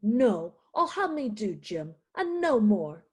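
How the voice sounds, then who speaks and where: A woman's voice: mid-range voice, big pitch swings, slow, husky, full character voice. A woman playing a stubborn, indignant poor widowed mother in a theatrical radio play. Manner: indignant, insistent, dramatic.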